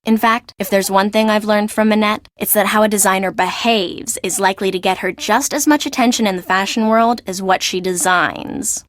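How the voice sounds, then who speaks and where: A woman's voice: medium pitch, steady tone, normal speed, few pauses, no room sound, lightly acted. Woman playing a chatty, gossipy fashion assistant, dry recording. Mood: gossipy, confiding. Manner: chatty, confiding. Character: chatty young female fashion assistant, gossipy, deferential to boss. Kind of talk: video game voice acting.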